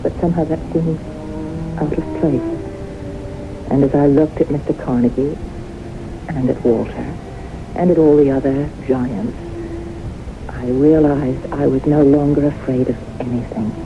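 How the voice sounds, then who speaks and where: A woman's voice: extremely low pitch, fairly even intonation, unhurried, long pauses. An actress playing a haunted, fearful, romantic woman of forty-one in an earnest, dramatic radio play style. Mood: wistful, reflective. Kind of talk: 1970s radio drama acting. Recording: muffled, noisy old radio broadcast.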